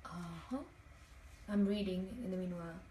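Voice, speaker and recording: A woman's voice: fairly low pitch, little pitch movement, normal speed, warm tone. Young woman, native italian speaker, chatting on a phone live, lots of ums, echoey bathroom, faint hum behind. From Italian-speaking Switzerland. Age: young adult. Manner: chatty, reads comments aloud, many fillers. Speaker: livestream host. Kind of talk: casual livestream chat. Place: hotel bathroom, sitting on the floor. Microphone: phone held at arm's length, talking to a mirror. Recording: echoey tiled bathroom, phone mic at a distance.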